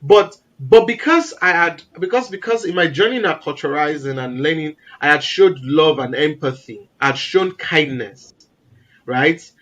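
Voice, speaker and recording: Loud emphatic Nigerian-raised man. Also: on the high side, moderate pace, dull audio. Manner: animated, emphatic, repeats words for stress. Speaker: guest. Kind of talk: casual interview conversation.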